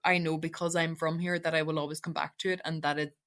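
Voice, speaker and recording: A young woman from the Donegal Gaeltacht, a native Irish speaker with a Donegal Irish accent, giving earnest, halting interview answers full of restarts. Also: sits low, little pitch movement, a bit bright. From Ireland, Donegal Gaeltacht. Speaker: guest.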